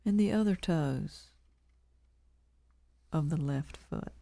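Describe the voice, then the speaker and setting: Female meditation guide, lowish pitch, unhurried delivery in short phrases with long pauses, calm and measured, dry close sound. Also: creaky, dry recording. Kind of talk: guided body scan meditation.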